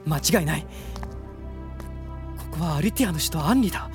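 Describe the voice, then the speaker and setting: A man's voice: fairly high pitch, lively intonation, normal speed, music in the background. A man playing an earnest, bewildered young prince in a radio drama, with a dramatic, exclaiming delivery. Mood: wondering, bewildered.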